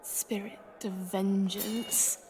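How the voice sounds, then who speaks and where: Eerie, slow-spoken female oracle. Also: mid-range voice, flat delivery, darkish tone, a little hiss or hum. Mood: trance-like, ominous. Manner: ominous, trance-like, portentous. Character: eerie female oracle foretelling bloody doom. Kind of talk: dramatic video game acting.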